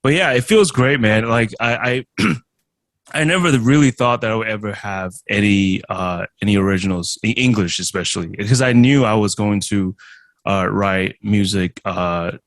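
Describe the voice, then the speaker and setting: A man from Taiwan whose first language is Chinese, a musician and streamer answering interview questions in a halting way, with many restarts and fillers. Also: on the low side, a bit bright, no room sound. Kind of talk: casual interview.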